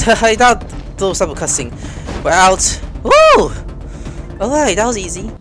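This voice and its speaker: A man's voice: high voice, huge pitch swings, unhurried, a bit bright, soft background music. A man hosting a gaming video with casual, slangy commentary, reacting aloud and talking to the game as he plays.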